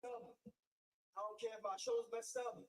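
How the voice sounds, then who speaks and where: A man's voice: very high voice, fairly even intonation, slow. A man, a wrestler cutting a brash, trash-talking promo. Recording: TV broadcast audio heard from a distance.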